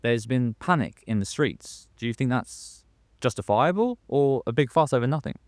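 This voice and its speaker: A young man playing a polite radio news presenter who gets nervous and flustered, halting in his delivery, in a radio drama. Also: mid-range voice, expressive, dry recording, clean studio sound, close to an everyday voice. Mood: composed, formal. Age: young adult. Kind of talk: modern radio drama acting.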